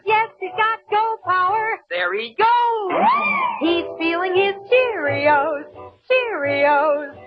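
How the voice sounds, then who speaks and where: Woman for vintage jingle, extremely high, slow delivery, muffled sound with prominent music. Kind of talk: old advertising jingle performance. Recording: muffled old recording with loud music.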